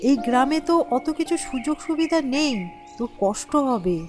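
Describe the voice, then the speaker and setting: A woman voicing an anxious, doting village mother in an animated ghost story, fretful and coaxing. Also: sits high, normal speed, faint music behind. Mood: anxious, urgent.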